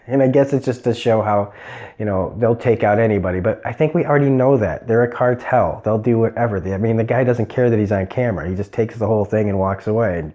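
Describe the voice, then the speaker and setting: A man's voice: fairly low pitch, fairly quick, warm tone. A man hosting a TV review show, talking casually and rambling with many fillers and restarts. He has a cold and sounds nasal. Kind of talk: casual unscripted episode review.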